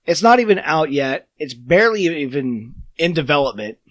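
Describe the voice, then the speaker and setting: A man's voice: fairly high pitch, big pitch swings, normal speed, fairly bright tone, no echo. A man with a smoker's voice hosting a casual gaming podcast, crude and sarcastic. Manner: crude, sarcastic, riffs and laughs. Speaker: podcast host.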